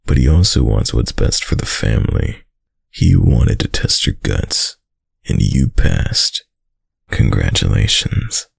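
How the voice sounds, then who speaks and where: A man playing a dominant, doting young mafia heir in an intimate boyfriend roleplay, with a very deep, gravelly voice and a slow, tough-guy delivery. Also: darkish tone, dry recording, studio quality, heavily acted. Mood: fond reminiscing. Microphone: close, dry microphone.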